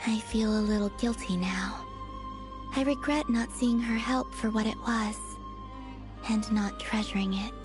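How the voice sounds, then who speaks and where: An actress voices a composed, empathetic young woman in a video game. The voice sits fairly high and speaks gently and thoughtfully. Music is clearly heard underneath. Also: natural voice. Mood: reflective. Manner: gentle, measured, reflective.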